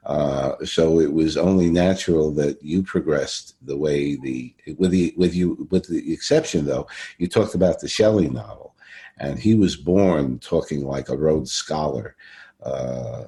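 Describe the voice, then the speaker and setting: A man hosting a casual conversational interview, speaking in a halting, rambling way and often repeating himself. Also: rumbling low, creaky, dark tone. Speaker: podcast interviewer.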